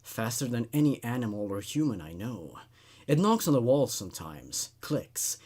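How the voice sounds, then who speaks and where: A man performs a frightened patient in a horror storybook. He is husky and halting, worn by sleeplessness. His anxious delivery rises and falls widely. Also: medium pitch, big pitch swings, a little mellow. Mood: fearful.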